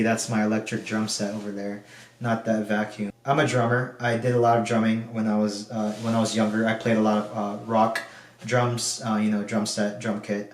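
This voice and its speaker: A man's voice: fairly low pitch, recorded from a distance. A young man from the Philippines talking through his personal goals in a casual video diary, rambling with lots of fillers. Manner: relaxed, rambling, frequent um and like fillers. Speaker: video diarist. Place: room at home. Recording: echoey room.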